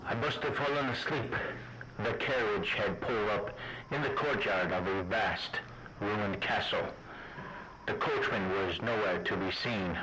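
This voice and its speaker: A man playing an uneasy traveller who narrates his captivity in a radio drama, with deliberate, suspenseful phrasing. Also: lowish voice, background noise, natural voice. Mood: wary.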